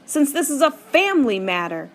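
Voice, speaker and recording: A woman's voice: high-pitched, big pitch swings, moderate pace, voice pushed up. A woman reading an audiobook, voicing a young girl who is upset about her family, her voice raised and shaking. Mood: sharp. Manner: shaky, raised voice.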